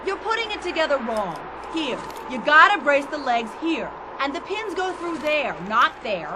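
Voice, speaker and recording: An actress playing an outspoken, skeptical physician at a mining colony in a radio drama, with a blunt, argumentative manner. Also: female voice, sits high, sing-song, normal speed. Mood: exasperated. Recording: noisy ambience behind the voice.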